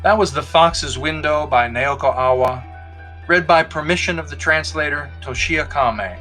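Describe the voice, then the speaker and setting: A man narrating a folktale from a script in a measured, expressive storytelling manner, as a podcast reader. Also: fairly high pitch, soft background music.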